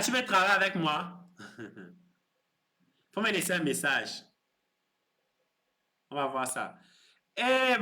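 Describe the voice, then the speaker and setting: A man in his thirties hosting a crypto live stream, chatting with viewers in a relaxed, teasing way and explaining his course. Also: on the high side. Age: 30s. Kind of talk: casual live stream chat.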